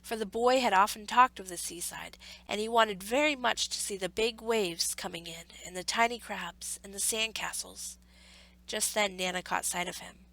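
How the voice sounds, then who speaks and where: A woman storyteller narrating a children's story in a measured, expressive read-aloud manner. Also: mid-range voice, creaky, low-quality recording.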